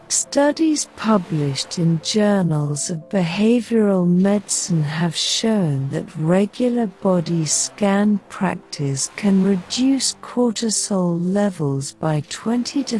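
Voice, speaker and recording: A woman guiding a sleep meditation in a gentle, soothing, measured way, reading scripted narration with pauses between phrases. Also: lowish voice. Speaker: meditation guide. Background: soft music.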